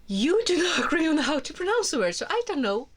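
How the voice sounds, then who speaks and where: A woman's voice: sits high, big pitch swings, fairly quick. A woman from Iceland, speaking English as a second language with an Icelandic accent, chatting to camera in a rambling way that wanders off on tangents. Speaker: book vlogger. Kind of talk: casual monologue to camera.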